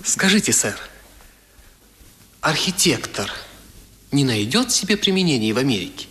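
A man acting a young English gentleman in a 1970s radio play, polite, frank and emphatic in his formal speech. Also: mid-range voice, big pitch swings, normal speed. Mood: polite, businesslike. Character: proper, earnest young English gentleman traveller, frank and indignant.

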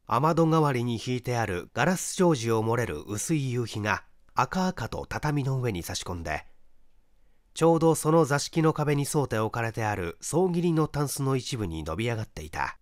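A man's voice: medium pitch, very animated, moderate pace, no room sound, studio quality. A man reading a mystery story aloud as a dramatic scripted narration. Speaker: audiobook reader, stage actor.

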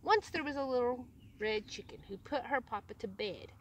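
A woman in her thirties reading a picture book aloud to young children, pausing to ask them questions. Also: fairly high pitch, lively intonation. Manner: pauses to put questions to young listeners. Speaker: storyteller, host of children's read-alouds. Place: open grassy farm field with chickens. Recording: outdoor noise, animal sounds. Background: faint outdoor ambience and animals.

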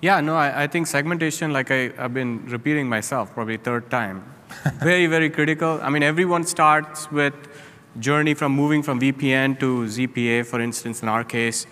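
A man's voice: medium pitch, moderate pace, bright, forceful tone. A man speaking emphatically as a panelist at a conference. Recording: reverberant hall.

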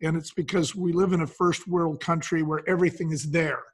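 A man with a fairly high, rough-edged voice talks as an interview guest. He explains business in a folksy, emphatic manner. He often poses a question and then answers it himself. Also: level tone, a little fast. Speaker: guest, business advisor and brand founder.

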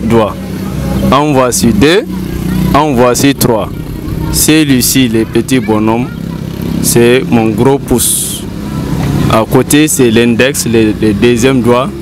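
A young man being interviewed outdoors, reciting a rhyme and explaining it slowly. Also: mid-range voice, fairly even intonation. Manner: slow, explanatory. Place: outdoors on a town street. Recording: outdoor street noise.

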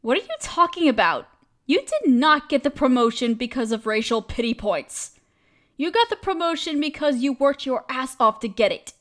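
A woman's voice: high-pitched, moderate pace. A woman acting as a caring, protective best friend in an audio roleplay, speaking casually and emphatically. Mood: firm, encouraging. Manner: expressive, emphatic, casual with mild swearing.

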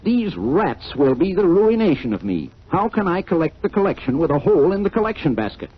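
Man as a flustered parson, exclaiming, high-strung, raised pitch, storybook radio, dull vintage recording. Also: very animated, brisk, slightly rough. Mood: exasperated. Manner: fussy, exclaiming. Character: flustered, exasperated male country parson.

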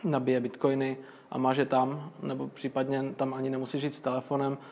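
A young man talks through his answers in an extremely flat, level tone and a middle pitch. He explains things step by step and keeps pausing on fillers and restarting his sentences. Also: slight background noise. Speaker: guest, crypto magazine owner. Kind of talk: casual interview answers.

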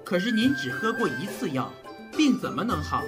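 An actor playing an earnest, caring but easily flustered physician of ancient China in a period audio drama. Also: male voice, high voice, big pitch swings, moderate pace, music in the background. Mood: exasperated.

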